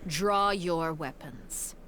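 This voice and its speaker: Stern, cold, commanding matriarch. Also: female voice, fairly low pitch, sharp tone, slight background noise, full character voice. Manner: stern, formal, measured. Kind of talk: English video game dub.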